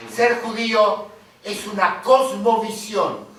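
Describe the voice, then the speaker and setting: A man's voice: high voice, steady tone, slow, a bit bright. An actor playing a self-important immigrant man of the 1950s, who speaks in a pompous, declamatory way. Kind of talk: stage acting. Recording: roomy, distant stage recording.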